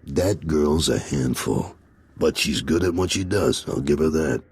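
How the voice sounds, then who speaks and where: An actor playing a gruff, weary crime underboss in a video game, blunt and dryly sardonic, with a gravelly, husky voice. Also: male voice, a bass voice, expressive, a little slow, full character voice. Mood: resigned. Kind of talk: video game character acting.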